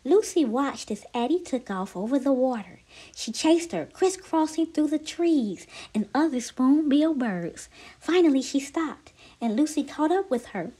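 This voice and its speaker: A woman's voice: high voice, animated, measured pace, dark tone, low-quality recording. A woman reading a children's storybook aloud expressively, as a storyteller.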